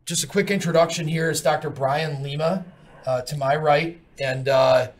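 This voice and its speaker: A man's voice: a little high, normal speed. A man, hosting a podcast in a casual, folksy way with plenty of fillers, at a desk mic in a studio. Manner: relaxed, folksy, many fillers. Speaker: podcast host. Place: city studio with glass windows. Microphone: desk microphone on stand.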